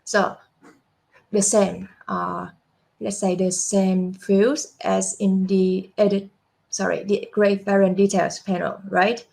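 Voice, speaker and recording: A young adult woman walking through a software demo step by step, unhurried, with frequent fillers and self-corrections. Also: medium pitch, level tone, creaky. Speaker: software product presenter. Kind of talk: screen-share software demo walkthrough.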